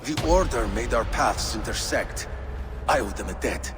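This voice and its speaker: A man's voice: mid-range voice, lively intonation, moderate pace, background noise, close to an everyday voice. An actor playing a ruthless crime boss sworn to his order in a video game, speaking in a theatrical, oratorical way. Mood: solemn, bitter. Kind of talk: dramatic video game acting. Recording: music behind the voice.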